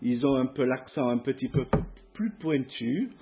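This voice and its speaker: A man's voice: mid-range voice, expressive, dull audio, slight background noise. Man with a southern French accent preaching. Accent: southern French (Provence). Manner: hesitant, many filler pauses, friendly. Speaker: guest preacher. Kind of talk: church sermon exhortation. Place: church hall before a masked congregation.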